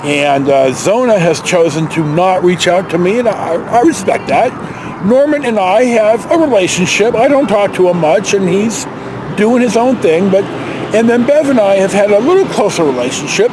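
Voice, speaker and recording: A middle-aged American man with a notably high voice talks casually, as if sharing family history. He rambles and pauses often, thinking back as he goes. Clear vehicle and outdoor noise runs behind him. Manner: rambling, reflective, halting. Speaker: storyteller vlogger. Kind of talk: casual personal storytelling.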